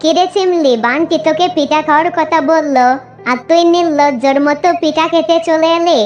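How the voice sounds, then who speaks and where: Cartoon fox villager, acted: extremely high pitch, emphatic theatrical delivery for children's animation, soft music underneath. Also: fairly even intonation, normal speed, muffled recording, natural voice. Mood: scornful. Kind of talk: Bengali children's cartoon dubbing.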